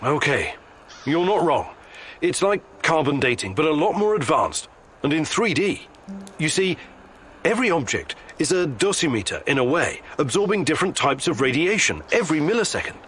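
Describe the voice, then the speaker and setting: An actor playing an earnest, determined male scientist in a video game, speaking in a serious, measured, explanatory manner. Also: fairly high pitch, big pitch swings, fairly bright tone, slight background noise. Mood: patient, explanatory. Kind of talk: video game character acting.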